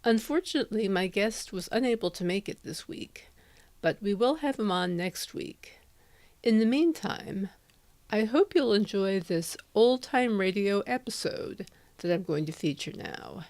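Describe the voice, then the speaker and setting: A woman's voice: mid-range voice, expressive, unhurried, a little mellow, no echo. A woman hosting a podcast, giving a plain introduction into a close microphone. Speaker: podcast host. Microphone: close handheld dynamic USB microphone.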